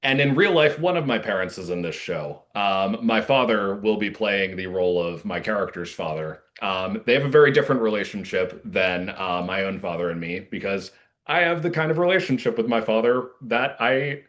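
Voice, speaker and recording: A man speaking casually as a playwright and actor being interviewed, earnest, with halting phrasing and frequent use of "like". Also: lowish voice, animated, a bit bright.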